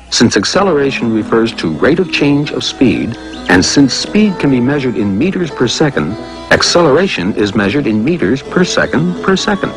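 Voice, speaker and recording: A man's voice: medium pitch, low-quality recording. A man narrating a scripted educational cartoon in a playful, conversational way, full of rhetorical questions.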